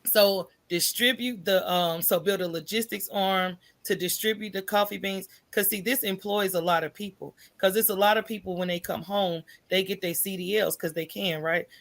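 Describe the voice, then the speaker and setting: An American woman with a medium-pitched voice talks casually as an interview guest. Her phrasing is colloquial and conversational, and she often tags her points with small check-ins to the listener. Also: steady tone, moderate pace, gravelly, few pauses, fairly bright tone. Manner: informal, colloquial, checks in with "right?" and "you know". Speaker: podcast guest, coffee business owner.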